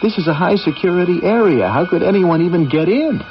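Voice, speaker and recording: A man's voice: high-pitched, animated, a little fast. A man playing a loyal, sceptical astronaut co-pilot in a radio drama, placating and reasoning, on an old radio recording. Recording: dull 1980s radio recording, faint music.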